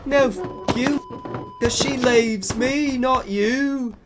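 A man's voice: extremely high pitch, slow pace, over loud music, voice pushed up. A man voicing a melodramatic teenage gothic boy with exaggerated, emotional delivery in a comedic fan fiction reading. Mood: jealous, furious.

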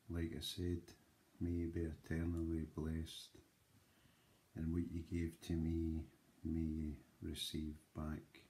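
A man's voice: extremely low pitch, warm tone, slight background noise. A Scottish man in his forties, talking earnestly to camera as a channel host in a small room, emphatic and often checking in with "right?". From Scotland. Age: 40s. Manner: slow, emphatic, with frequent "right?" asides. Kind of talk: earnest motivational talk to camera. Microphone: webcam or phone close up.

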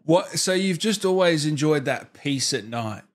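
Enthusiastic man with lively, swooping intonation. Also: on the high side, measured pace. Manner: enthusiastic, complimentary. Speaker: interviewer, host. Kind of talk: casual interview chat. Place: small home studio, indoors. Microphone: close desk microphone, with headset.

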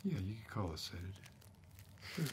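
A middle-aged man playing a wry, menacing backwoods uncle in a slow, deadpan ASMR whisper. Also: lowish voice. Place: dim indoor room, seated close to the mic. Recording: close mic with clear background hiss.

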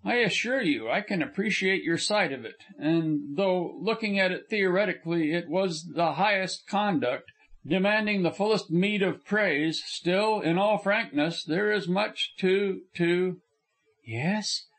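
Courteous young gentleman, formal and soothing, lightly pitched, as read by a male narrator. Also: unhurried, full character voice. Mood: reassuring.

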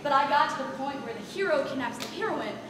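A woman's voice: sits high, animated, fast. A teenage woman acting a bossy, doom-laden stage manager in a comedy, overdramatic and sarcastic, on a theatre stage. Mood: grim, doom-laden. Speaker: student stage actor. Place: large school theatre stage, audience present. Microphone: far camera in audience. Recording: distant, noisy, echoey auditorium stage recording.

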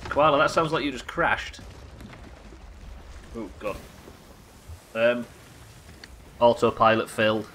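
A man's voice: mid-range voice, takes it slowly, pauses often, a bit bright, a little hiss or hum. A British man in his thirties giving casual, rambling, sweary game commentary and chatting to viewers from a small room. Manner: sweary, rambling, self-questioning. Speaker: live game streamer. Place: small indoor streaming room.

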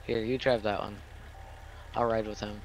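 A man's voice: fairly low pitch, level tone, measured pace, vocal fry, faint music behind. A man talking casually with teammates while playing an online roleplay game. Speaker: video game player. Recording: noisy game voice chat with clicks.

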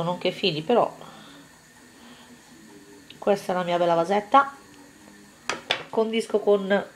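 A woman's voice: medium pitch, a little hiss or hum, low-quality recording. A woman talking viewers through a home cooking recipe step by step in a casual, chatty way. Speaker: cooking vlogger. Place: home kitchen table, indoors.

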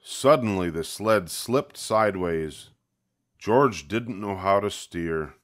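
A man's voice: low-pitched, lively intonation, slow pace, fairly bright tone. An older man reading a children's picture book aloud, expressively, leaning on key words. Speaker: storybook reader.

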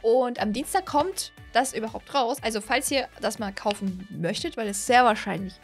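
A woman in her twenties hosting a gaming video with excited, casual reaction commentary, gushing and often repeating her words, recorded on a close studio microphone. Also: a little high, normal speed, faint music behind. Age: 20s. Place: small indoor streaming room. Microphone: close studio microphone on a boom arm.

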